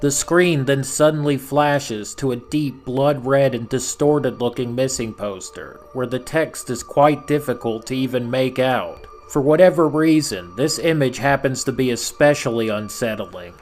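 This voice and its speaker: A man narrating scripted horror commentary as a host, in a measured storytelling manner with rhetorical asides. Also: medium pitch, darkish tone, slight background noise. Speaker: video essay host and narrator.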